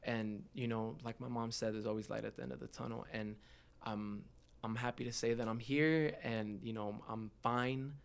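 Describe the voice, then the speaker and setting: Male podcast guest, twenties. Heartfelt, open, conversational delivery at an average pitch. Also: fairly even intonation, normal speed, creaky, clear, resonant tone. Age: 20s. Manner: earnest, heartfelt. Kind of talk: candid conversational interview.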